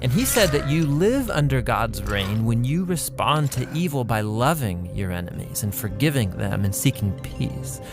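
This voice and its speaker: A man's voice: medium pitch, big pitch swings, moderate pace, hardly any pauses. A man co-hosting an educational video, giving scripted but conversational explanations with emphatic phrasing. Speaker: explainer, co-host of an educational Bible video series. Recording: music bed behind the voice. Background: clear background music.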